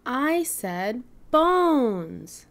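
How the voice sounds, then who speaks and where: A woman's voice: on the high side, very animated, very slow, vocal fry, pitched above the performer's own voice, exaggerated voice. A woman voicing a helpful young boy stegosaurus in a storybook, stressing key words as he corrects his friend. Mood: correcting.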